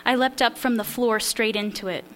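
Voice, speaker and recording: A woman's voice: fairly high pitch, steady tone, moderate pace, a bit bright, faint music behind. A woman writer reading a scene from her memoir aloud, a little nervous and self-deprecating. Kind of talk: memoir reading at a literary event.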